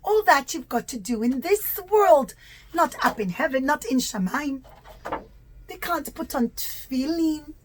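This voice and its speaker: Slow, high-voiced woman storyteller for children. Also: expressive, a little hiss or hum, low-quality recording. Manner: simple repetitive phrasing, emphatic. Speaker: children's puppet show storyteller. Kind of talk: animated storytelling to young children.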